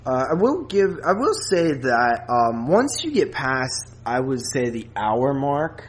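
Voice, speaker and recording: A man talks in an average-pitched voice, as a podcast co-host casually reviewing a film. His phrasing is halting and hesitant, as if he is working out each opinion while he speaks. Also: sing-song.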